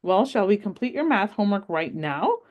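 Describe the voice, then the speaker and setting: A woman's voice: medium pitch, sing-song, moderate pace, highs cut off, natural voice. A woman doing a wise old grandma. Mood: fond. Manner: gentle, storytelling, reassuring. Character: warm, wise old grandmother, patient and playful. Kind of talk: read-aloud storytelling.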